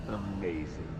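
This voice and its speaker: An actor playing a bitter, arrogant scientist villain in a video game, with a sneering, grandiose manner. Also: male voice, sits low, in a large hall, background noise, full character voice. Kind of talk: video game cutscene acting.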